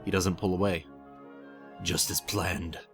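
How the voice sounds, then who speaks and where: A man's voice: sits low, steady tone, a little slow, soft background music. A man reading scripted second-person fiction as the narrator of a story.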